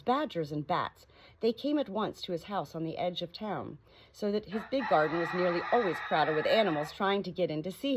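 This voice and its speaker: A woman reading aloud as an audiobook narrator in an expressive storybook style. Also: fairly low pitch. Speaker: audiobook reader. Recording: clearly noisy home recording.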